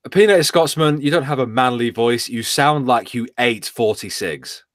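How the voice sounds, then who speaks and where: A man's voice: medium pitch, animated, normal speed, dry recording, studio quality. A man, co-hosting a casual livestream and teasing his partner in a jokey way. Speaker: co-host.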